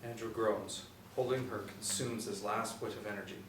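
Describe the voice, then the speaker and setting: A man's voice: on the low side, steady tone, moderate pace. Male narrator, 40s, reading script directions; roomy, distant mic with faint noise. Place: small indoor room. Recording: echoey room, distant mic, background noise.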